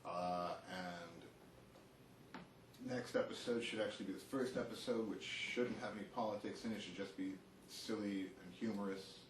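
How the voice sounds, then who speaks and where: A man's voice: fairly low pitch, fairly even intonation, normal speed. A man hosting a comedy show with casual, rambling comic talk. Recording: echoey room with clear background noise.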